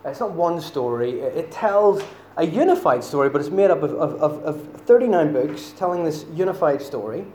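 A man's voice: a little high, lively intonation, normal speed, few pauses, darkish tone, echoey room, slight background noise. A man from Northern Ireland with a Northern Irish accent, preaching a sermon in a chatty, self-deprecating way with many fillers. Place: church hall.

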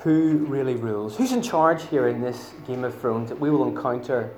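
A man's voice: medium pitch, lively intonation, moderate pace, darkish tone, reverberant, slight background noise. A man from Northern Ireland with a Northern Irish accent, preaching a sermon in a chatty, self-deprecating way with many fillers. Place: church hall.

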